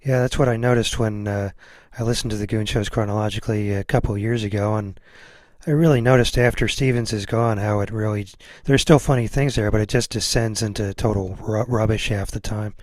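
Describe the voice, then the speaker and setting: A man's voice: on the low side, steady tone, brisk, a little mellow, no echo, studio quality. A man hosting a podcast in a casual, conversational interview style. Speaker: podcast host.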